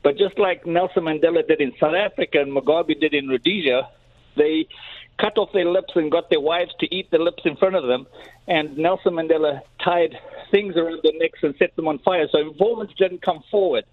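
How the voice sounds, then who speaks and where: A man's voice: fairly high pitch, normal speed, dry recording. An older man calling into a television show by telephone, speaking in a blunt, rambling way. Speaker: caller. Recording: tinny dry phone line.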